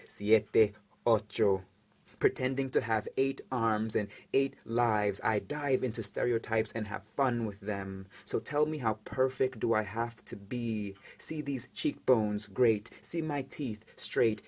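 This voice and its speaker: A young man performing spoken word poetry, dramatic and rhythmic, swinging between hushed lines and loud, emphatic ones. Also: medium pitch.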